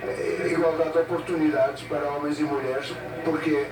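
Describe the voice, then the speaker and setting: A man, interpreting a speech at an event in short, halting phrases with pauses. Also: fairly high pitch, level tone, background noise. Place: event hall with an audience. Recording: echoey hall from far off, loud music behind.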